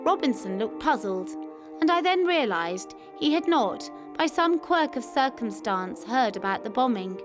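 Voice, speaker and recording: A woman with a fairly high voice reads a scripted memoir as a narrator. Music plays clearly behind her as she tells the first-person wartime account. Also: sing-song, a little slow.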